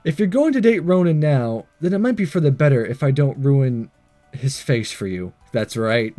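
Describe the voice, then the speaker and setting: A man's voice: medium pitch, lively intonation, normal speed, warm tone, faint music behind. A man doing a let's play, reading a visual novel aloud with casual, sarcastic asides and the odd stumble over words. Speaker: let's player.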